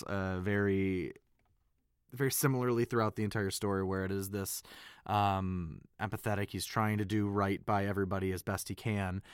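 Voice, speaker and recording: A man chatting casually as an interview guest and voice actor, informal and halting as he thinks aloud. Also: lowish voice, fairly bright tone, clear, resonant tone, no echo, clean studio sound.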